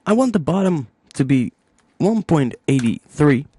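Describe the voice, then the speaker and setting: A man narrating a music software tutorial step by step, informally thinking aloud into a close microphone. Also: on the high side, big pitch swings, measured pace.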